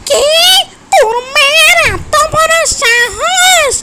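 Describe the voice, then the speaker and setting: Woman voicing a shrill, fierce cartoon ogress. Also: expressive, takes it slowly, heavy background noise, full character voice. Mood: furious, threatening. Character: fierce female ogress, bossy and menacing, later contrite. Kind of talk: children's cartoon voice acting. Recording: loud music behind the voice. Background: music.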